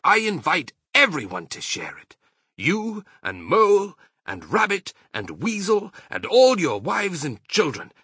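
A man's voice: high voice, wildly swooping pitch, measured pace, sharp tone, breathy edge, dry recording, studio quality, voice pushed up, exaggerated voice. A man voicing a sly, courteous fox father in a children's storybook, suave and savouring his words. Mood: proud, generous.

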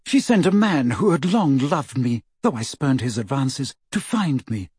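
Guy doing a proud young lady ghost, stiff n disdainful, bit husky, audiobook character. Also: medium pitch, sing-song, a little slow, a little mellow, dry recording. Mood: confessional, sorrowful. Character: haughty, proud young woman ghost, cool and guarded.

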